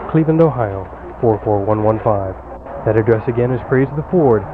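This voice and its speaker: An earnest deacon announcer played by a man makes a formal, scripted sales pitch for a parody radio ministry. The 1980s recording is thin and phone-like, with clear noise throughout. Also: natural voice. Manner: formal, polished salesman delivery. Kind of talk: scripted mock radio advertisement.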